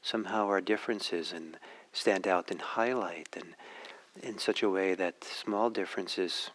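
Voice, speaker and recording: A man who is a native Norwegian speaker gives a reflective talk as a meditation teacher, pausing often and searching for his words. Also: low voice, fairly bright tone, rough recording. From Norway.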